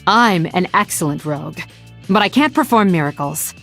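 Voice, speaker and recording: Sardonic female elf assassin, game character, teasing and theatrical, very expressive pitch, music underneath. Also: normal speed. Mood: playful, cocky. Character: sly, sardonic young-looking female elf rogue assassin. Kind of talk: video game character voice lines.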